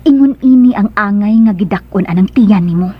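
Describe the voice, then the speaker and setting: A woman playing a cold, scheming lady of the house in a radio drama, with a cutting, deliberate delivery on a dull recording. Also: mid-range voice, noisy background, lightly acted. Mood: cold, confronting.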